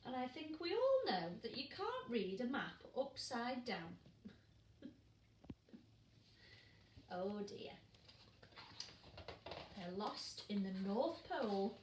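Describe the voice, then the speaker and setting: A teacher reading a picture book to young children, gentle and slow, pausing to ask them questions. Her voice is higher than most and swings up and down, in a roomy sound caught a little away from the mic. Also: big pitch swings, distant mic, a little hiss or hum. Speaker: primary school teacher.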